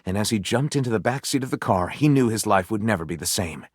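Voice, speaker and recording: Theatrical, wildly animated pulp storyteller. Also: male voice, lowish voice, quick pace, slightly rough, dry recording, studio quality. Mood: dramatic narrating. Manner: melodramatic, grandiose, playfully sardonic. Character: flamboyant, enthusiastic male storyteller of trashy pulp fan fiction. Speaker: video game character. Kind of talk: theatrical storybook narration for a comedy game.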